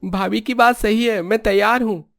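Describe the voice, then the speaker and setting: Cheerful, supportive young brother-in-law, voiced by a man, very high for a male, dry recording, storybook drama. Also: fairly even intonation, moderate pace, creaky, fairly bright tone, no room sound. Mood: eager, supportive. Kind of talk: dramatized moral story acting.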